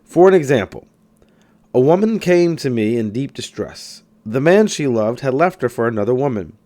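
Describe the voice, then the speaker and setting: A man reading a self-help book aloud in scripted narration, with emphatic, deliberate phrasing. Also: medium pitch, expressive, dark tone. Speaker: audiobook reader.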